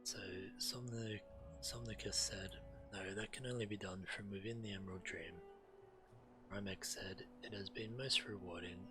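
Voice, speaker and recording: A man streaming a video game and reading its quest text aloud. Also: fairly low pitch. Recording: loud game music behind. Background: video game music.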